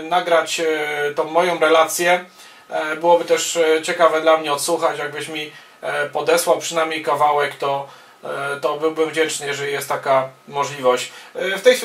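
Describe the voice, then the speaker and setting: Higher-voiced man in a roomy shack. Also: a bit bright. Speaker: amateur radio operator. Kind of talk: casual ham radio contact, spoken in turns. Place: small home radio room, indoors. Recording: roomy, echoing home-video sound.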